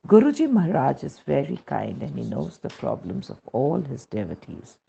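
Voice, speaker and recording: A man with an Indian accent reads a scripted devotional testimony in a measured, solemn manner, on a dull recording. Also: medium pitch, huge pitch swings, creaky, dark tone, a little hiss or hum.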